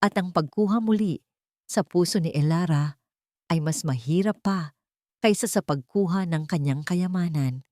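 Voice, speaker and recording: Low-voiced woman narrating a drama story. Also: normal speed, darkish tone, no echo, clean studio sound.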